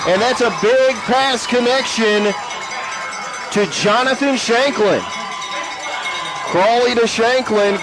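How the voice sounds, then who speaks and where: A man calling a high school football game play by play from the stadium booth. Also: rough recording. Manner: rising excitement on big plays. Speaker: play-by-play announcer. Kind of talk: sports play-by-play commentary. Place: press box at an outdoor stadium.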